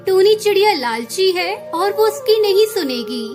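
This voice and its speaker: A woman's voice: very high-pitched, expressive, normal speed. A woman narrating a scripted children's cartoon story. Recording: music behind the voice.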